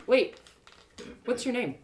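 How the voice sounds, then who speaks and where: Young female adventurer for audio drama, spirited but flustered, lively swooping delivery, acted by a woman. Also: on the high side, takes it slowly, a little hiss or hum, pitched above the performer's own voice. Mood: wary. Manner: earnest, flustered, hesitant. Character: spirited, awkward young runaway girl, Allie.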